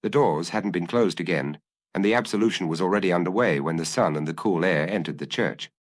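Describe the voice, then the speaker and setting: A man narrating a detective story as an audiobook, in a measured, literary storytelling manner. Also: low-pitched, no room sound, studio quality.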